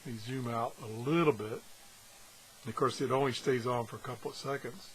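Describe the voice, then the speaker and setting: A man with a slightly husky voice gives a casual, unscripted hands-on review, pausing and thinking aloud as he goes. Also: mid-range voice, fairly even intonation, slight background noise, rough recording. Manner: halting, thinking aloud as he handles things. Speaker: gadget reviewer and video host.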